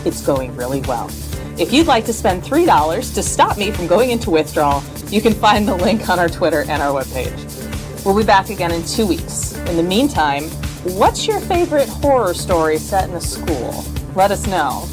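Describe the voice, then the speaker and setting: A woman's voice: on the low side, sing-song, normal speed, over music. A woman podcast host delivering a chatty scripted outro.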